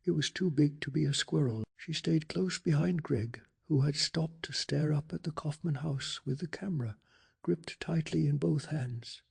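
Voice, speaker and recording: A man narrating a bedtime story in a soft-spoken ASMR style, calm, hushed and deliberate. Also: a little high, gravelly, husky.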